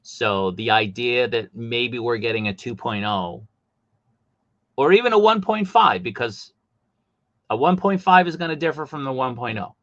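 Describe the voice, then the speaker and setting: A man's voice: mid-range voice, expressive, normal speed, a bit bright, no room sound, highs cut off. A man hosting a casual chat, thinking aloud and weighing theories. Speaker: host. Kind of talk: casual chat about toy collecting.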